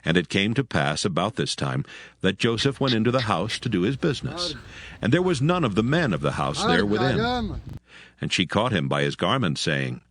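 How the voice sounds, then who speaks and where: A man's voice: on the low side, wildly swooping pitch, moderate pace, a bit bright. A man reading a scripted, dramatized scripture story as its narrator.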